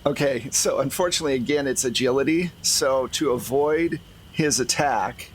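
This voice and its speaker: A man's voice: mid-range voice, normal speed, a little hiss or hum, rough recording. A man running a tabletop roleplaying game, narrating and explaining in a conversational, improvised way. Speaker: game master.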